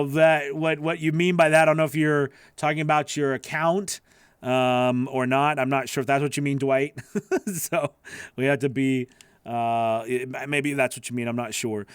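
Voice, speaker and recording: A man answers viewer questions on a casual livestream, his voice sitting a little high. He rambles, restarts and leans on filler phrases. The sound is close and dry, with no room echo. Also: steady tone, a little slow, fairly bright tone, clean tone. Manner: rambling, self-correcting, lots of you know. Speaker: voice-over coach answering live questions.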